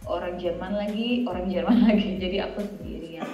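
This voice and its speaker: Wanita muda Indonesia, santai dan banyak cerita. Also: female voice, fairly low pitch, a little fast, dull audio. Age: 20s. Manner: chatty, mixes English into Indonesian. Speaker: interview guest, student living abroad. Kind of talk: casual conversational interview. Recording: roomy echo, music underneath. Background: background music.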